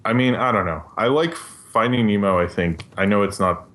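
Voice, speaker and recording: A man's voice: fairly low pitch, moderate pace, fairly bright tone. A man chatting casually on a podcast panel, hesitant and often trailing off mid-thought. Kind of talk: casual panel chat about films.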